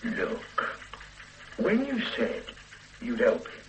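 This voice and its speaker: A blunt police inspector in a vintage radio drama who grinds away at a reluctant witness. His voice is bright and lively, moving a lot in pitch. There is a faint hiss behind him. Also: very slow, full character voice, poor audio. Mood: pressing. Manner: pressing, sardonic, threatening when stonewalled. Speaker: police detective questioning a witness. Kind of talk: 1970s-80s radio drama acting.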